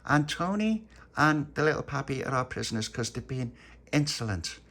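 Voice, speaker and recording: A man voicing a polite little girl playing a lady-in-waiting, soft-spoken. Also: mid-range voice, sing-song, moderate pace, voice pushed up.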